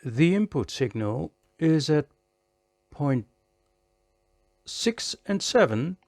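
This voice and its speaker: Slow-paced man with a higher-pitched voice. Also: big pitch swings, a little mellow. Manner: measured step-by-step, counts and repeats phrases. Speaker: electronics repair presenter. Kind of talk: hands-on tutorial commentary.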